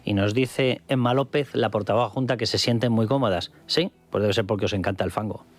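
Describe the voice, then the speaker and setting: Fast-talking combative Spanish radio pundit. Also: male voice, fairly low pitch. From Spain. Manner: combative, sarcastic, emphatic repetition. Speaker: radio news commentator. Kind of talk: opinionated political commentary.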